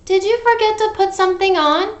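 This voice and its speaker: A woman's voice: very high voice, brisk, reverberant, voice pushed up. A woman voicing a teasing, amused mother frog from a storybook, calling out in a singsong. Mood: calling, questioning.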